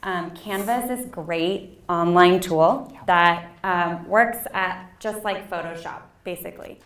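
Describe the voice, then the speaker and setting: A woman giving a live training presentation to an audience in a meeting room. She speaks loudly and casually, with frequent ums. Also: fairly low pitch, slow pace. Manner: chatty, frequent ums, loud voice. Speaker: workshop presenter. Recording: roomy echoey hall sound.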